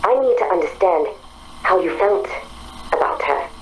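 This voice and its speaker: Casting: woman as searching, sincere machine intelligence, calm and deliberate, slightly low voice, faint noise behind, game drama. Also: natural voice, low-quality recording. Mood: earnest, pleading. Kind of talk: dramatic game dialogue acting.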